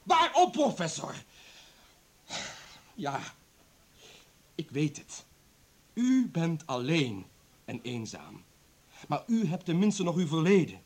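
An actor playing a proud, wounded doctor in a radio play, husky and aggrieved as he defends himself. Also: a little high, sing-song, slow pace, long pauses, exaggerated voice. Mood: anguished, bitter. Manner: impassioned, aggrieved. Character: proud, wounded male doctor, a lonely outcast ex-convict. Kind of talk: Dutch radio play acting.

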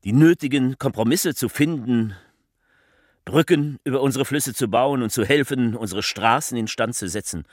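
Man acting as male political orator, bleak and defeatist, husky, declamatory, dry close sound, radio drama, music underneath. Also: medium pitch, dry recording, natural voice. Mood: insistent, rousing. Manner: declamatory, repeating phrases with rhetorical pauses. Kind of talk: theatrical political oratory, radio play monologue.

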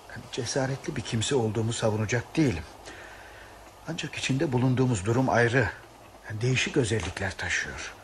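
A man's voice: mid-range voice, noisy background, natural voice. A man acting in a Turkish radio play as an earnest, stubborn idealist on a train, speaking in formal theatrical diction.

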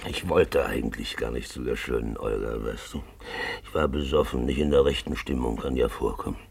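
An actor playing a boozy, jovial, lecherous gentleman in a 1950s German radio drama, gravelly and theatrical, going from grumbling mumbles to loud wheedling cries. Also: extremely low pitch, fairly quick, exaggerated voice. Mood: amused, confiding. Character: boozy, roguish Russian gentleman found alive, jovial lecher.

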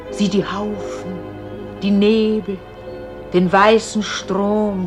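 An actress playing a solemn, gentle celestial voice that guides a soul, speaking in a measured, exalted, incantatory way in a radio play. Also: female voice, lowish voice. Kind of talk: solemn classic German radio play acting.

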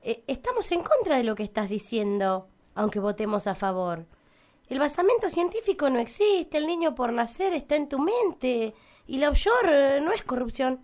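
Woman doing a contrary argentine councillor lady, high swoopy voice, scolds then wavers, radio satire. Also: sing-song, moderate pace, a little mellow. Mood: scolding. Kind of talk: satirical radio play acting.

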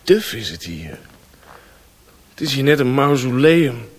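An actor playing a distraught, dazed Western traveller in a radio play, speaking in halting, broken phrases. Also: male voice, mid-range voice, big pitch swings, slightly rough. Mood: brooding, stifled. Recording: noisy old radio play recording.

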